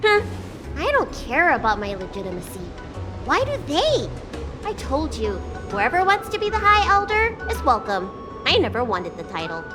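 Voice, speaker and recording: Little dragon girl game character, high bouncy voice, blunt n cheeky, music behind. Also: wildly swooping pitch, normal speed. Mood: dismissive. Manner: blunt, curious, playful. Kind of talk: video game voice acting.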